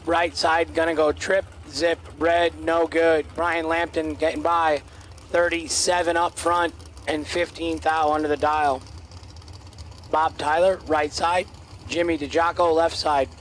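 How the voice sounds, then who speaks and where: A man announcing drag races at an outdoor track in clipped, terse calls, his voice going hoarse. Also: a little high, flat delivery, a little slow, a bit bright, slight background noise. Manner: clipped, terse calls of lanes, dial-ins and reaction times. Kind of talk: race commentary.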